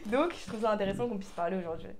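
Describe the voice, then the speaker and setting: Young woman interviewer, casual podcast host, warm-open but halting delivery. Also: fairly high pitch, slight background noise. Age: young adult. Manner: friendly, hesitant, many fillers.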